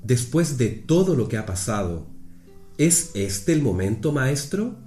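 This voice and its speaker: A man acts an awed, searching disciple in a radio play. The voice is mellow and slow, with lively rises and falls, in a roomy echo. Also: medium pitch, faint music behind. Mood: earnest, searching. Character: earnest, questioning male disciple Peter, awed and searching. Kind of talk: youth radio play acting.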